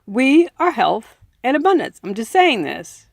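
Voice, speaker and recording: A woman reads aloud in an earnest, informal audiobook style. Her voice sits a little high and often breaks into creak. She takes her time, and the recording is close and dry. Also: big pitch swings, unhurried, no room sound. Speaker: reader and commentator. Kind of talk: audiobook reading with informal asides.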